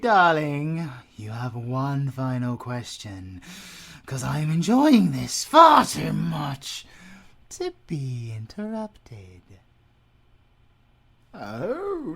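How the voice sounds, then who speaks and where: A man's voice: a little high, vocal fry, a bit bright, heavily acted. A British man playing a gloating, theatrical werewolf alpha villain besotted with his mate, in an audio roleplay, swinging between murmurs and outbursts. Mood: gleeful gloating.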